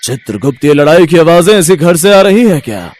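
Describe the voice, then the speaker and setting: A man's voice: fairly high pitch, big pitch swings, a little fast, darkish tone. A man voicing a stern, formal god of death who gives patient but insistent commands in a moral story. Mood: commanding. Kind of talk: animated Hindi moral-story voice acting.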